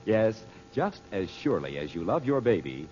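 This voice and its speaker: A man's voice: fairly low pitch, big pitch swings, moderate pace, dark tone. An American man announcing in old-time radio style, reading scripted copy with polished, emphatic salesmanship. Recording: muffled vintage radio recording with music. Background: music.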